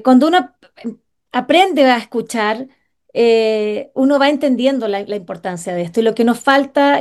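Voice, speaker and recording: A woman from Chile hosting a conversational interview, pausing often with fillers and restarting her sentences. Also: fairly high pitch, a little mellow. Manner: hesitant, many fillers and restarts. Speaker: radio show host.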